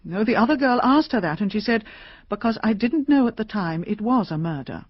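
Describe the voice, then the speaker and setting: An actress playing a shaken middle-aged British mystery novelist in a radio drama, talkative, hesitant and confiding. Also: female voice, medium pitch, lively intonation, a little fast, slightly rough, no room sound. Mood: earnest, confiding. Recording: slightly dull, dry radio recording.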